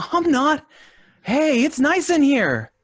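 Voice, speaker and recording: A woman's voice: sits high, sing-song, slow, no echo, heavily acted. A woman playing an eager young boy. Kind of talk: live stage radio play.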